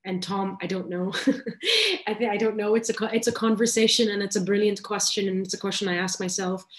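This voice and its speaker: A woman in her thirties answering questions as a guest on a video call, halting and soft, with many restarts. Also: medium pitch, animated. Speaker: guest speaker. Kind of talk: casual interview answers. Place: small room indoors.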